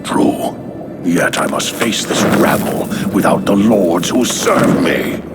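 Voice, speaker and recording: A man's voice: low-pitched, lively intonation. An actor playing an ancient, imperious king of the dead in a video game, haughty, bitter and menacing. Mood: commanding. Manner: slow, haughty, commanding. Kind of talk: dramatic video game acting. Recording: loud background music.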